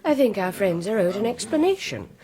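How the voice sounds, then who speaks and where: A woman's voice: mid-range voice, sing-song, a little fast, mellow. An actress playing a scheming, haughty middle-aged aristocratic mother in a period radio drama, with an arch, insinuating manner. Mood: imperious, coy. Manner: arch, insinuating, mock-reluctant.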